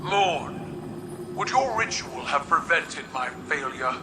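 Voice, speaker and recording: A proud, imperious alien empress from a sci-fi game, with a deep commanding register. She declaims formally, like a warrior ruler carrying shame. Her voice echoes in a roomy space over noisy rumbling ambience. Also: lively intonation, slow. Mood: proud, bitter. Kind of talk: scripted dramatic video game dialogue.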